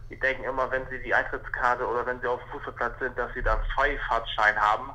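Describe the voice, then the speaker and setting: Male, thirties. Very sharp, bright voice, mid pitch, unscripted interviewee speaking over the telephone. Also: flat delivery, fast. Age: 30s. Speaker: football referee, interview guest. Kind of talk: spontaneous phone interview. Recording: telephone line.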